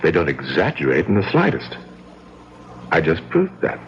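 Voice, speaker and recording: An actor playing a charming, cold, calculating villain in a vintage mystery radio drama, smug and quietly menacing. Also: male voice, low voice, huge pitch swings, full character voice. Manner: smug, suave, measured menace. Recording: lo-fi, noisy old radio recording.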